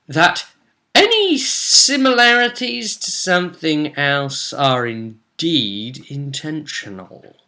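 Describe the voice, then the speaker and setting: Bright-voiced young man telling a game story. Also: medium pitch. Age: young adult. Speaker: vlogger recapping his tabletop roleplay campaign. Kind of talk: casual storytelling recap to camera. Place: small room at home, indoors.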